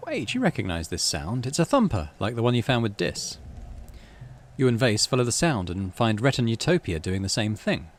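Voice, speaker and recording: A man's voice: mid-range voice, very animated, normal speed, a little hiss or hum. A man hosting a playthrough, reading game text aloud with animation and muttering offhand commentary to himself. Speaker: gaming channel host.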